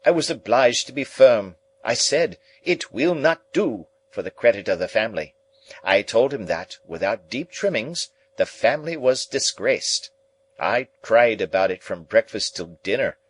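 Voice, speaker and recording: A man acts out a middle-aged society lady from a storybook, full of indignation and self-pity. The voice is lifted well above his own, theatrical and emphatic, exclaiming as if deeply wronged. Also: lively intonation, measured pace, slightly rough, rough recording. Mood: righteous indignation. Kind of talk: dramatised audiobook reading.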